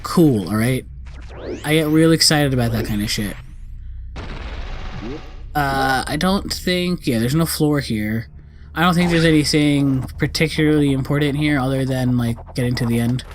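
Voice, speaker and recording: A man's voice: medium pitch, a little hiss or hum. A man giving casual, rambling, sweary let's play commentary on a video game.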